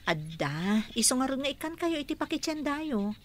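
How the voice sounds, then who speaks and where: An actress playing a dutiful, mild young wife with a Filipino accent, speaking respectfully in a radio drama. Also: female voice, sits high, sing-song, moderate pace.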